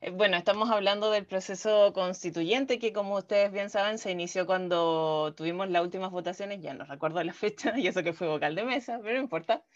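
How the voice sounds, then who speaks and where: A woman's voice: mid-range voice, a little fast, few pauses, lo-fi sound. Giggly co-host woman. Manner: laughs easily, many hesitations. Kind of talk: casual radio chat.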